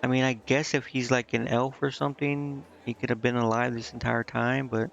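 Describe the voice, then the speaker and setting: Muffled, gravelly man rambling. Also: mid-range voice, little pitch movement, normal speed. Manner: rambling, thinking out loud. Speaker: video game streamer. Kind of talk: casual gameplay commentary, reading game dialogue aloud. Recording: muffled mic with clear background noise.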